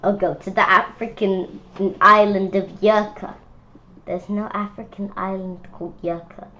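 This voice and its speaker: Woman, children's read-aloud, slow pace, halting and repetitive phrasing, medium pitch, slightly muffled lo-fi recording. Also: steady tone, sharp tone. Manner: halting, stumbling over words and repeating phrases. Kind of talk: informal read-aloud of a rhyming picture book.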